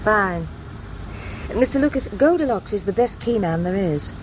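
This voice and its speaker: An actress playing a brisk, curt, commanding female underworld fixer in a radio drama. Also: medium pitch, animated, lightly acted. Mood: businesslike. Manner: curt, authoritative. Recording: clear vehicle noise behind.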